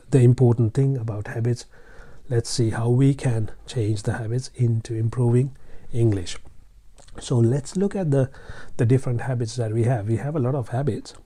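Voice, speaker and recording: A Sri Lankan man teaching English in a relaxed, talky way, speaking to learners directly and encouraging them. His voice is deeply dark and mellow, at an ordinary pitch for a man. He restates his points over and over and fills pauses with "uh" and "um". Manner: repeats and restates phrases, frequent fillers. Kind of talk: casual spoken lesson.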